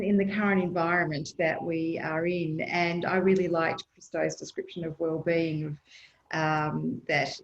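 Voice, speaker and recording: A woman's voice: on the low side, a little mellow. A woman speaking on a panel, earnest and thoughtful, with many fillers and restarts, heard over a video call. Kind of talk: panel discussion answer. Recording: echoey, dull lo-fi video call.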